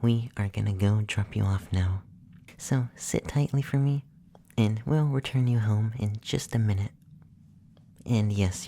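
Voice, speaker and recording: A performer playing a calm, clinical, gently curious young effeminate male alien abductor in a soft, breathy, often whispered ASMR roleplay, speaking slowly and soothingly. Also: medium pitch, slight background noise, close to an everyday voice. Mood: soothing, instructing. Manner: slow, soothing, softly spoken, matter-of-fact.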